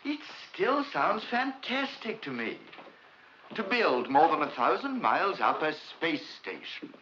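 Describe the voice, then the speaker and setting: A man's voice: a little high, very animated, measured pace, sharp tone, exaggerated voice. A man playing a sceptical, authoritative government official in formal 1950s film drama dialogue. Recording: muffled old film soundtrack, faint noise.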